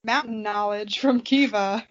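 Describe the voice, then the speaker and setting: A woman's voice: mid-range voice, fairly even intonation, unhurried, muffled recording. A woman podcast co-host making casual, teasing, sarcastic comments.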